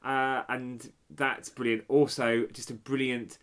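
A man's voice: medium pitch, level tone, takes it slowly, fairly bright tone, clear, resonant tone. Chatty man with dry humour. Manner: chatty, dry humour, gives background facts. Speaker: podcast co-host. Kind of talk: casual chat reviewing an album.

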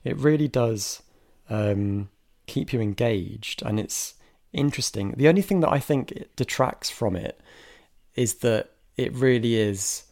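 A man's voice: mid-range voice, measured pace, creaky, a little mellow. A man co-hosting a casual film discussion, chatty and thoughtful, with frequent restarts and hedges. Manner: chatty, many restarts and hedges, bursts of laughter. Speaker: film podcast co-host.

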